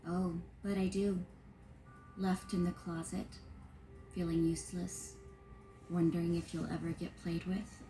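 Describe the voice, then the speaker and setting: An actress voicing a lonely vintage female doll who speaks softly and coaxingly, taken from a film soundtrack. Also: lowish voice, little pitch movement, unhurried, pauses often, a little hiss or hum. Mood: wistful. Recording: roomy film audio.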